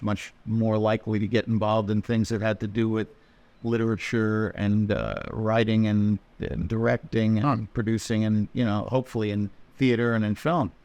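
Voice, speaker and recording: A man co-hosting a podcast interview, asking measured, thoughtful questions. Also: on the low side, a little mellow. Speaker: podcast co-host.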